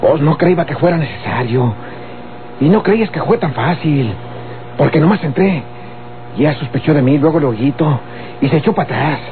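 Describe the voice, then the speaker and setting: Actor as a highish, rustic young Mexican henchman. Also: lively intonation, lightly acted. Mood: callous. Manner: rustic, halting, matter-of-fact. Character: callous, simple young rural male henchman. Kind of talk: Mexican golden-age radionovela acting. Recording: tinny old radio, faint hum.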